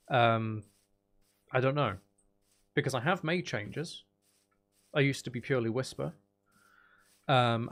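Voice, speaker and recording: A soft-spoken man chatting casually on a livestream as an ASMR creator, explaining things in a candid, aggrieved way. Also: medium pitch. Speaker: ASMR content creator and streamer. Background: music in the background.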